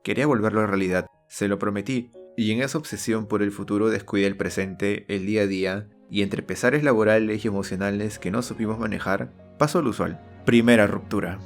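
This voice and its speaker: A man's voice: on the low side, fairly even intonation, brisk, few pauses. A young man giving an earnest, confessional scripted narration of his own life as a storyteller. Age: young adult. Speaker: storyteller and channel host. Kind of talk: scripted autobiographical narration with acted dialogue. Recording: voice over background music.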